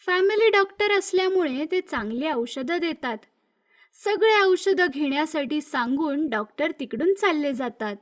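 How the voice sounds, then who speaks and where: A female storyteller with a very high voice narrates a scripted children's tale. Her delivery is that of an animated moral story reader. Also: unhurried.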